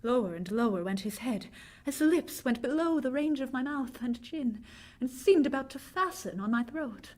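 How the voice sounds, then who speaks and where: Actress as young Victorian heroine, higher-pitched, dramatic, suspenseful, haunted, radio horror play. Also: female voice, animated, moderate pace, darkish tone. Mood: entranced dread. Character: Mina, frightened young Victorian woman recounting horror. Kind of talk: vintage radio drama acting and narration.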